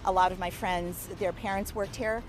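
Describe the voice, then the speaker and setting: A woman's voice: mid-range voice, steady tone, moderate pace, faint music behind. Older local woman in a street interview. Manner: hesitant, with fillers. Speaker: local resident. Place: outdoors by a road. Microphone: handheld reporter mic.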